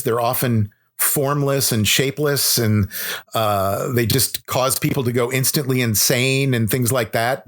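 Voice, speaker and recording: Male podcast guest, mid-pitched, talkative and opinionated, casual film-buff conversation. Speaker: podcast guest, horror author. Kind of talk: casual interview chat.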